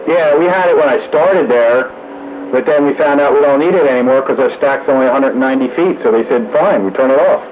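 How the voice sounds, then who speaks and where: A man's voice: medium pitch, brisk. Matter-of-fact man on noisy radio. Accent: American. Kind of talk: casual two-way radio chat. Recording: muffled, noisy two-way radio transmission.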